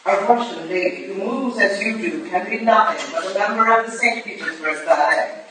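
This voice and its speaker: A woman playing a stern, sharp-witted Victorian lady detective, curt and dry, in a staged play reading. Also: mid-range voice, normal speed, close to an everyday voice. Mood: probing. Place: live staged reading in an echoey room. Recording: echoey room, distant mic, faint noise.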